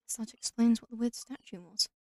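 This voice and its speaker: A woman hosts a casual let's play. Her voice is soft-edged and relaxed, recorded dry, and she drops wry, deadpan-leaning asides as she plays. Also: on the high side, fairly quick, no room sound. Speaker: gamer, let's play host. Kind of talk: casual game commentary.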